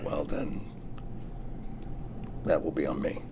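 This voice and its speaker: A man playing a guarded middle-aged father who reassures haltingly and insistently in a script table read. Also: extremely low pitch, noisy background. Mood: hushed pleading. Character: guarded middle-aged father hiding secrets, earnestly pleading.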